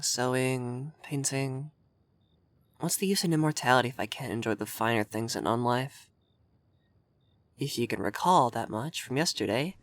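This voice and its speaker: A man's voice: mid-range voice, a bit bright, no room sound, natural voice. Man playing a prim, haughty vampire lord, close-miked. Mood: defensive, haughty, then stern, teasing. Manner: prim, bossy, tsundere. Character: haughty, flustered, effeminate male vampire lord of a manor. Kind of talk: scripted ASMR roleplay addressed to listener.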